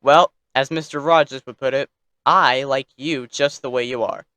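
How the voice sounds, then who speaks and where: A man's voice: medium pitch, fairly even intonation, moderate pace, bright, forceful tone, smooth, no room sound. A man telling a bedtime story as narrator, theatrical, with playfully snippy asides.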